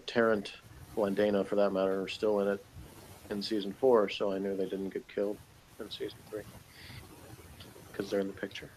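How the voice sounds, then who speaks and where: A man's voice: lowish voice, darkish tone, low-quality recording. A man co-hosting a TV review in casual, unscripted talk, rambling and a little hesitant. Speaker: co-host of a TV review show. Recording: faint background noise.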